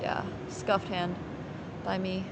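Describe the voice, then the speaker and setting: A woman's voice: medium pitch, monotone, slow pace, background noise. A woman from Finland live streaming casually, thinking aloud in rambling fragments as she plays and chats with viewers. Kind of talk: casual chat while playing poker, answering viewers.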